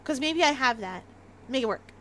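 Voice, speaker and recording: A woman's voice: sits high, animated, normal speed, a little hiss or hum. A Canadian woman with a light Maritime Canadian accent, chatting casually and giggling as she livestreams a game. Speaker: game streamer.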